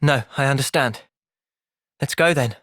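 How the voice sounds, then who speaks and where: A man's voice: medium pitch, a little slow, fairly bright tone, dry recording, lightly acted. Man acting earnest young soldier, very dry recording. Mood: calm, thoughtful. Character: earnest, thoughtful young male soldier, gentle but resolute. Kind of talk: video game cutscene acting.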